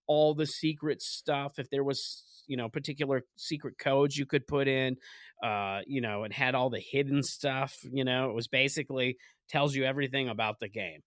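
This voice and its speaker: A man's voice: medium pitch, steady tone, normal speed, a bit bright, dry recording, studio quality. A man co-hosting a comedy podcast, rambling casually with lots of fillers and frequent laughter. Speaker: podcast co-host.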